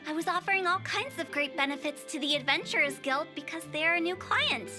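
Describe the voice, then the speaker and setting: A woman's voice: very high-pitched, expressive, a little fast. A woman playing a perky, cheeky young funeral parlor director in an anime game, with theatrical sales patter. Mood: cheerful, scheming. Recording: game audio with music behind.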